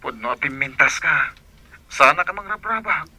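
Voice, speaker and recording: A man with a Northern Philippine accent playing a playful, smitten young son in a radio drama, teasing and expressive. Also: on the high side, moderate pace, husky, exaggerated voice.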